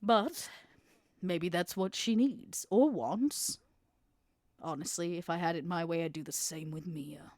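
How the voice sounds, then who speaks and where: A woman playing a shrewd female vampire smuggler in a tabletop game, bargaining in a deliberate, persuasive way. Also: on the low side, darkish tone, no echo, clean studio sound, close to an everyday voice. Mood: earnest. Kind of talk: in-character tabletop roleplay.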